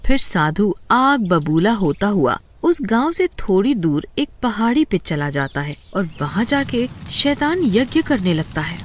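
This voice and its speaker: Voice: mid-range voice, big pitch swings, unhurried, few pauses, slight background noise. A narrator reading a scripted horror tale as a storyteller.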